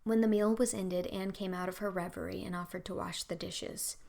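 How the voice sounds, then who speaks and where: A woman's voice: on the low side, fairly even intonation, moderate pace, gravelly, a little mellow. A woman reading scripted audiobook narration of a story.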